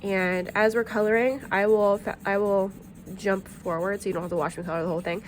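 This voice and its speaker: A woman's voice: medium pitch, steady tone, a little fast, a little hiss or hum. A woman art teacher talking through a drawing tutorial in a friendly, chatty way, a bit sniffly from allergies, with plenty of ums.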